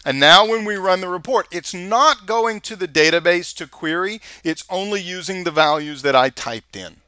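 Texan male instructor, screencast voice-over, bright and dry, casual and chatty while clicking around. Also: sits high, normal speed, clean tone, dry recording. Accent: Texas. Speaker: software instructor. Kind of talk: screencast tutorial walkthrough.

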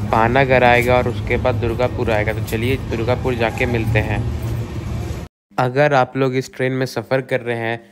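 A young man, casually narrating a train travel vlog in a chatty, steady way. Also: medium pitch. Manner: chatty, steady, plain delivery. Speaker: train vlogger. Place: inside a train and rooms. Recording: loud train and vehicle noise.

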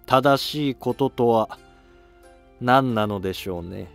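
Man playing a slow, humble old scholar-official. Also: mid-range voice, gravelly, faint music behind. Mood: resigned, wry. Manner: courteous, measured, wry. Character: humble, resigned elderly male scholar-official. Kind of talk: animated historical drama acting.

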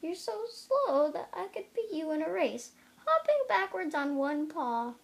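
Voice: extremely high pitch, very animated, unhurried, creaky, full character voice. A young reader voicing a flashy, rude male hare who taunts and boasts in a storybook. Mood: taunting.

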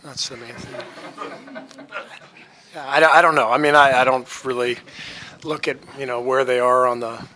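A man in his fifties, a basketball head coach, answering reporters' questions at a postgame press conference in a relaxed, halting way with many fillers. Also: mid-range voice. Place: press room before a sponsor backdrop. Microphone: table mic on a stand.

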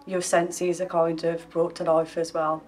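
A woman's voice: lowish voice, monotone, a little slow, a little mellow. A young woman giving a short spoken testimonial.

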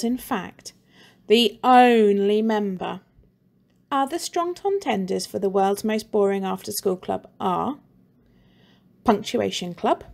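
A woman teacher reading a children's story aloud in a slow, expressive and playful way. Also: medium pitch, gravelly.

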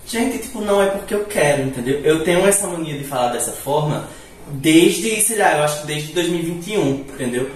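A man's voice: fairly high pitch, animated, brisk. Young man with a hissing northeastern Brazilian accent. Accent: northeastern Brazilian, Recife-like. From Natal, northeastern Brazil. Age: young adult. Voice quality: hissing, slushy s sounds. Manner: chatty, playful, breaks into giggles. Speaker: vlogger. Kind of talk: casual cooking vlog chat. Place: tiled home kitchen, indoors. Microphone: camera several feet away. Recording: echoey tiled kitchen, distant mic.

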